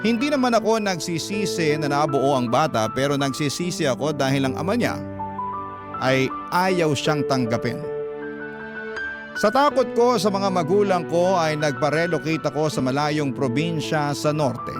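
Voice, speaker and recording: A man's voice: a little high, expressive, moderate pace. A man reading a listener's letter as a radio drama narrator, in dramatic scripted storytelling style. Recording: music bed under narration.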